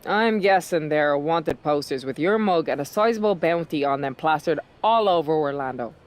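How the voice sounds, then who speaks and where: A woman's voice: deep voice, lively intonation, normal speed. A headstrong female wrestler in a video game story speaks. Her delivery is firm and earnest. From Dublin, Ireland. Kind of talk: video game cutscene dialogue.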